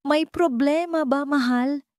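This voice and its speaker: A woman's voice: high-pitched, slow pace, darkish tone, no echo, studio quality, voice pushed up. A woman voicing a calculating young mistress who speaks with cool, sweet-sounding mockery. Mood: mocking. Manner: coolly mocking, sweet on the surface.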